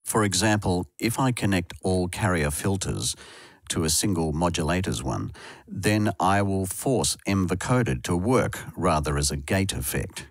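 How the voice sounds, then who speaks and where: Low-voiced man narrating a dry software tutorial. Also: animated, measured pace, no room sound. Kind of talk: scripted instructional narration.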